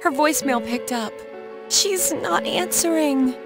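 A woman voicing a feisty, excitable, worried teenage schoolgirl with an American accent in an anime-style video game, expressive and blunt. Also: sits high, a little slow. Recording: game music and background crowd.

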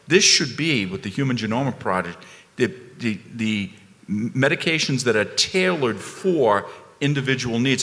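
A man speaking on a conference panel, emphatic and animated, repeating phrases as he builds a point. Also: mid-range voice, in a large hall. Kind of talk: panel discussion. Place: conference ballroom stage.

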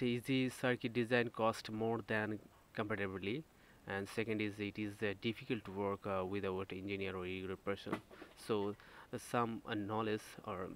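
A man who is a non-native English speaker gives a slide lecture as an instructor, halting and repeating himself as he explains step by step. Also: on the low side. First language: not English. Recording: noisy home-made screen recording.

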